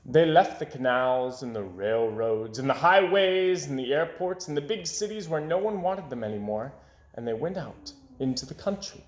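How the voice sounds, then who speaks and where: A man reading a children's story aloud expressively, with dramatic delivery that drops to hushed phrase endings. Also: on the high side. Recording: echoey room.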